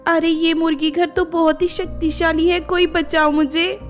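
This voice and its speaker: A woman's voice: very high voice, flat delivery, unhurried, soft background music, pitched above the performer's own voice. A woman voicing a bold, stern good fairy with a commanding way of talking in a children's tale. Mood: frightened. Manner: commanding, scolding.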